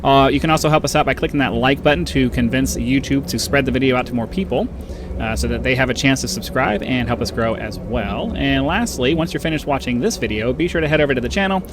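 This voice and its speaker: A man with a nasal voice hosting a casual let's-play, rambling and chatty, with a bass-boosted desktop microphone. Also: fast talker, background noise. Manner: rambling, chatty, with frequent uhs and little laughs. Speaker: gaming channel host. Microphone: desktop microphone with bass boosted by an equalizer.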